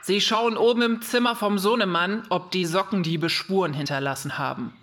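Woman playing a brisk, businesslike police chief. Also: medium pitch, a bit bright, lightly acted. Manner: brisk, matter-of-fact, authoritative. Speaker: voice actor in homemade children's audio play. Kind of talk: children's detective audio play acting.

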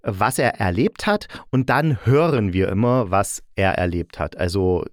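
A man's voice: on the low side, lively intonation, measured pace, dry recording, clean studio sound. A man hosting an informal review podcast, chatty and correcting himself as he goes. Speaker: podcast host and audio drama reviewer.

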